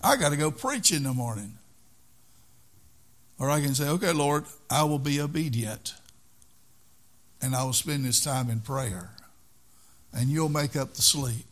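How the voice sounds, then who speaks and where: A man's voice: medium pitch, a little slow, long pauses, fairly bright tone. An older man preaching a sermon in an earnest way, repeating key phrases for emphasis.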